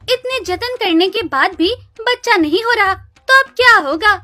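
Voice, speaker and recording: A woman's voice: very high-pitched, lively intonation, unhurried, pushed and bright, full character voice. A woman voicing a spiteful younger sister cow in a cartoon story, mocking and scornful. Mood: taunting. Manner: taunting, scornful. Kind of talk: Hindi animated moral story dialogue. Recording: music behind the voice.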